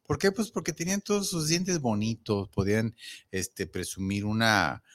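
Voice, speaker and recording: Un dentista con acento mexicano que conduce un programa de radio y habla con el oyente de forma informal, con muchas frases repetidas y preguntas sueltas. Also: male voice, fairly low pitch, normal speed. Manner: chatty, rambling, many restarts and asides to the listener. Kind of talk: casual radio talk.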